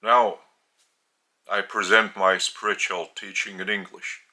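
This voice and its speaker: A man with a Lithuanian accent giving a spiritual teaching in a deliberate, halting way, with long pauses between phrases. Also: lowish voice, little pitch movement, bright, forceful tone.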